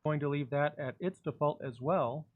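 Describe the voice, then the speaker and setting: A man narrating a software tutorial, calmly giving step-by-step instructions. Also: fairly high pitch, normal speed, phone-quality sound.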